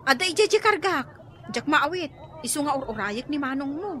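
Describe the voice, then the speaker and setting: A woman's voice: high voice, expressive, brisk, a bit bright. A woman playing a bossy, outspoken sister-in-law in a radio comedy-drama, with an Ilocano accent.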